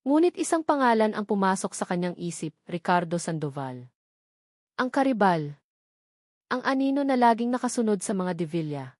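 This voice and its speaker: A Filipino woman delivers scripted dramatic story narration. Her voice sits at a middling pitch for a woman, and the recording is dry, with very little room around it. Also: a little mellow, no room sound.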